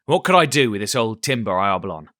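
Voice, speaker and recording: A man voicing a blustering, cunning old one-legged pirate cook who shouts in salty sailor talk. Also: fairly low pitch, sing-song, fast talker, no echo, studio quality. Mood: jovial.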